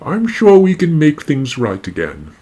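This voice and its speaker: A man's voice: on the high side, huge pitch swings, measured pace, dark tone, breathy edge, heavily acted. A man playing a cold, smug, menacing husband in a noir radio play, condescending and polite. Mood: smug menace.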